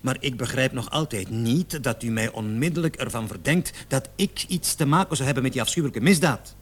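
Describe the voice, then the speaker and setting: A man's voice: medium pitch, brisk, a little hiss or hum, natural voice. An actor in a radio play, a man playing a cocky travelling salesman in his thirties, defensive and hesitant under police questioning. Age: 30s. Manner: hesitant, stammering, protesting with nervous chuckles.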